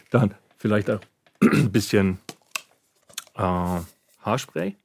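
A man's voice: on the low side, expressive, drawn-out words, vocal fry, a little mellow, a little hiss or hum. A man working as a sound effects artist explains his craft in conversation, searching for his words in a studio. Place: radio drama studio.

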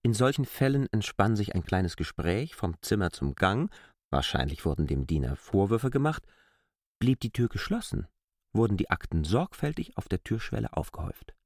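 A man narrating a literary radio drama as a reflective observer, in an expressive storytelling manner. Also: on the low side, normal speed, dry recording, clean studio sound. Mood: observant, wry. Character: male narrator, reflective observer of a bustling absurd world. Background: music.

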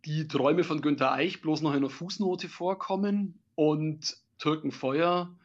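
A man's voice: a little high, a little slow. A man speaking as a jury member, giving a critical appraisal on a lo-fi recording. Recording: dull, muffled lo-fi sound.

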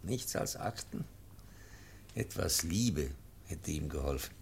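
A man's voice: rumbling low, expressive, slow, creaky, husky, heavily acted. An actor playing a solemn, grieving male professor and devoted teacher in a radio drama, emphatic and bitter. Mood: bitter, indignant.

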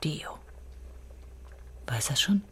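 An actress playing a sharp, caring middle-aged wife in a radio drama, speaking in a dry, probing, intimate way. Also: female voice, extremely slow, in a whisper. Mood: concerned, probing. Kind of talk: naturalistic radio drama acting.

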